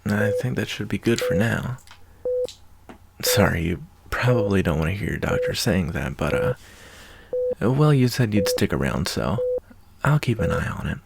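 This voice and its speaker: Man acting a gravelly, easygoing wasteland doctor. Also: lowish voice, big pitch swings, very noisy. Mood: hushed, concentrating, then calm, caring. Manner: unhurried, hesitant, folksy, reassuring. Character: laid-back, fatherly wasteland doctor, gruff but caring adult man. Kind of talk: ASMR roleplay, softly acted monologue.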